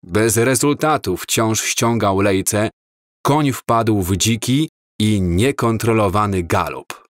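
A man narrating an audiobook story in a slow, measured, calm reading style. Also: fairly low pitch, dry recording, clean studio sound.

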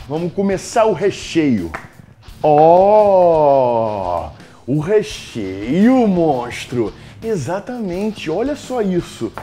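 A young man from Brazil presents a comic cooking vlog from a home kitchen, filmed by a fixed camera a little way off. His voice sits at an ordinary middle pitch, and he swings between loud, brash hype and low mutters. Soft guitar music plays faintly behind him. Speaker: cooking show host.

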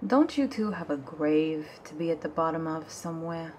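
A woman's voice: low voice, expressive, normal speed, darkish tone, a little hiss or hum. A woman playing a suspicious fraud detective in an audio drama, with a sarcastic, confrontational manner. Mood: sardonic, accusing.

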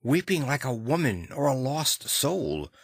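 A man's voice: medium pitch, big pitch swings, measured pace, breathy edge, no echo, studio quality, pitched above the performer's own voice, exaggerated voice. A man voicing an anxious, superstitious Victorian butler in an audiobook, hesitant and respectful. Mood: haunted. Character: anxious, deferential Victorian male butler, plain-spoken and superstitious.

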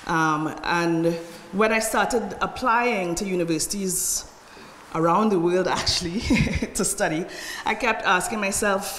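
A middle-aged woman from Trinidad and Tobago with a Trinidadian accent, giving a measured, personal conference talk at a podium and often checking in with "right?". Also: fairly low pitch, a bit bright, slight background noise. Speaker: conference speaker. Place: large lecture hall. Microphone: podium microphone from a far camera. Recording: echoey hall recorded from afar.

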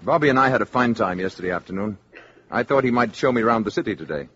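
An actor playing a calm, courteous alien posing as an ordinary man in a 1950s radio drama, speaking in a measured, assured way. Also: on the low side, slightly rough. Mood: polite, curious. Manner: polite, measured, quietly assured. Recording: dull, noisy 1950s radio recording.

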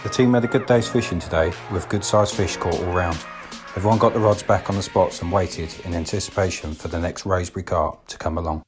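A man narrating a fishing trip in a laid-back, unhurried voice-over. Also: sits low. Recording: voice-over with music underneath.

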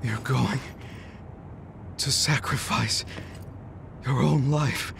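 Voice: medium pitch, steady tone, drawn-out words, vocal fry, noisy background, exaggerated voice. An actor voicing an earnest, determined young male hero in an anime game, emotional and strained. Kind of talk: dramatic anime game voice acting. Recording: music behind the voice.

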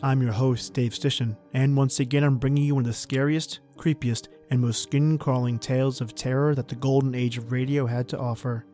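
A man giving a measured, announcer-like scripted introduction as podcast host. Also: mid-range voice, steady tone, warm tone, faint music behind.

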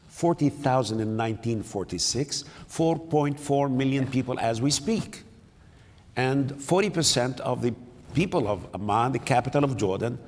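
An older man speaking on a conference panel, halting, with many repeated words and fillers. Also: mid-range voice, a little hiss or hum. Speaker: panelist, city mayor. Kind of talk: panel discussion. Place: large conference hall, on stage. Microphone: lapel mic. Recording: long hall reverb.

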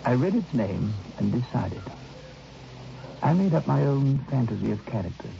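An actor playing a reflective man recalling a youthful summer, in measured, literary radio drama narration. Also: mid-range voice, big pitch swings, creaky, warm tone, slight background noise. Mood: wistful. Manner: measured, literary, wistful storytelling. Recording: dull vintage radio recording with music behind.

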